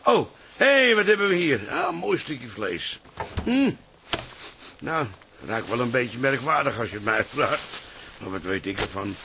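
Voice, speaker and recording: A man's voice: medium pitch, very animated, unhurried, noisy background. An actor playing an older, opinionated working-class father in a comic radio drama, blustering and muttering to himself. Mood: musing, pleased.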